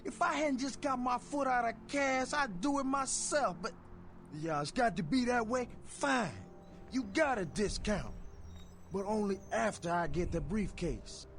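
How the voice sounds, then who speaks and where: Sleazy street fence in a game, high and slick, streetwise sales patter. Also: male voice, measured pace, fairly bright tone, faint music behind, heavily acted. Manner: slangy streetwise hustler patter. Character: fast-talking sleazy heavyset male street fence. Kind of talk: video game character dialogue.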